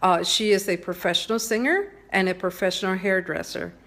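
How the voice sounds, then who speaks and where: A woman from Texas giving a prepared, earnest personal presentation in a measured, slightly read-aloud way. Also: medium pitch, a bit bright. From Texas, San Antonio. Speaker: presenter sharing family background.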